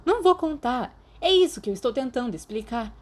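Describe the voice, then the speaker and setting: A woman with a Brazilian Portuguese accent voicing a lively, teasing teenage girl in a podfic reading. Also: a little high, a little fast, voice pushed up. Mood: reassuring.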